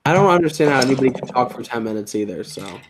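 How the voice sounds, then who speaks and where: A man's voice: medium pitch, lively intonation, rapid-fire, a crowd in the background. Young man with a slight lisp. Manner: self-deprecating, chatty, trails off quietly at ends of phrases. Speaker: YouTube Lego channel host. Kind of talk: casual chat.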